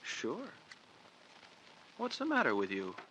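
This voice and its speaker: A casual, slightly hesitant accomplice in a 1940s radio thriller. The voice is bright and lively in pitch, with faint noise on the old recording. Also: male voice, takes it slowly, heavily acted, rough recording. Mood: casual, curious. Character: casual, uneasy accomplice in a scheme.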